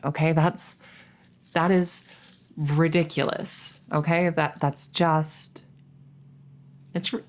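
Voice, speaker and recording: A woman playing a coy, flustered young crown princess in an intimate audio roleplay, speaking in a halting, stammering, nervous way. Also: fairly low pitch. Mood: flustered denial. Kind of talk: intimate audio roleplay acting addressed to the listener.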